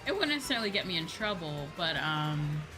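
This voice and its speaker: A woman's voice: low voice, sing-song, fairly quick, over music, low-quality recording. A woman streamer chatting casually and informally to viewers, musing aloud and circling back over her phrases. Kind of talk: casual chat while livestreaming a video game.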